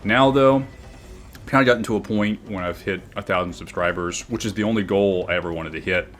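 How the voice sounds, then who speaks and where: Deep, booming man talking over game footage. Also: a little fast, fairly bright tone, a little hiss or hum. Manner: reflective and earnest, with many fillers and restarts. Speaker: gaming YouTuber. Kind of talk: game commentary and channel talk.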